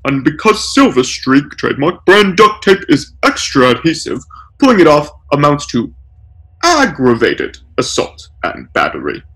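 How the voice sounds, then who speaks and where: A man voicing pompous, pedantic corporate lawyers in a comic short story, speaking formal, deliberate legalese. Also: fairly high pitch, huge pitch swings, fairly bright tone, husky, voice pushed up, full character voice, rough recording. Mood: smugly formal.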